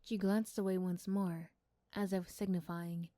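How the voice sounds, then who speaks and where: A soft-spoken woman giving scripted second-person story narration in an ASMR roleplay style. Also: on the low side, little pitch movement, measured pace, no room sound, clean studio sound. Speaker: storyteller and voice performer.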